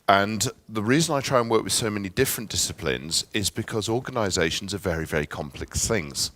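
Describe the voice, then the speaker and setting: A deep-voiced middle-aged Englishman with an English accent presents a workshop to a conference room. He talks in a friendly, chatty way, with light asides and filler ums, and the sound is dry and close with little room echo. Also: a bit bright. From England.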